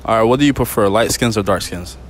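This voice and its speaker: A man's voice: fairly low pitch, quick pace, a crowd in the background. A young man doing casual street interviews outdoors, teasing and repeating answers back. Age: young adult. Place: outdoors on a university campus.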